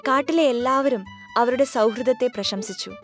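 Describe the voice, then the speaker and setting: A woman's voice: fairly high pitch, moderate pace. A woman narrating a scripted children's story as a storyteller. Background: clear background music.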